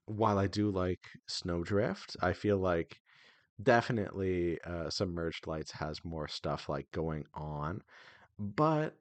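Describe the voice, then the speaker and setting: A man's voice: low-pitched, no room sound. A man giving a casual, unscripted devlog update, thinking aloud in a rambling, hesitant way. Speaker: indie visual novel developer.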